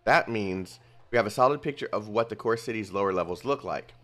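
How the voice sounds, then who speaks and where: A man reading a calm squad leader's briefing. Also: fairly low pitch, fairly quick, soft background music, natural voice. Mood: instructive.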